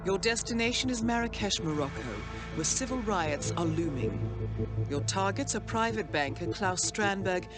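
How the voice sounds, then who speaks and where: A woman playing a composed, formal intelligence handler, giving a scripted game mission briefing in measured, precise phrases. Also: mid-range voice, lively intonation, close to an everyday voice. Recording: loud music under the voice.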